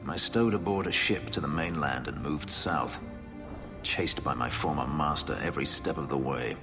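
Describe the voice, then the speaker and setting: A haunted former slave turned elf warrior, his voice very low and darkly brooding. He speaks in measured, formal, clipped sentences, holding himself in check. A loud game score plays under him. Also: music in the background. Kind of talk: video game cutscene dialogue.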